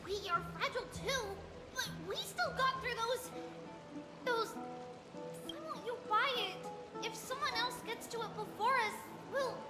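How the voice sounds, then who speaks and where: An actress voicing a small, earnest, excitable little girl in a video game, with childlike delivery. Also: very high-pitched, measured pace, echoey room, long reverb tail, loud music behind the voice. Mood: earnest, fretful. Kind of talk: game dialogue.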